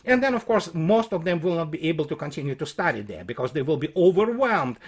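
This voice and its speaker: Guy with a pretty high voice doing an opinionated rant, scornful and emphatic, keeps stumbling and restarting. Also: big pitch swings, breathy edge. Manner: scornful, emphatic, halting with many hesitations and repeats. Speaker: military commentator. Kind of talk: unscripted opinionated commentary, quoting and critiquing a report.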